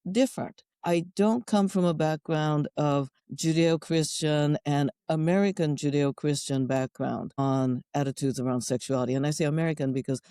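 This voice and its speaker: Woman, Japanese accent, middle-aged, mellow, dry close sound, wry and thoughtful. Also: deep voice, moderate pace, few pauses, smooth, no room sound. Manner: thoughtful, wry, list-like phrasing. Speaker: guest sexologist and educator. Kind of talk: conversational interview answers.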